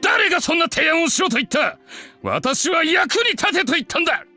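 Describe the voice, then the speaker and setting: A haughty, domineering male boss from a visual novel, with a very high-pitched, bright voice. His delivery is imperious and blustering, swinging widely in pitch over background music. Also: big pitch swings, racing, exaggerated voice. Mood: indignant. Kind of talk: Japanese visual novel acting. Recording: music behind the voice.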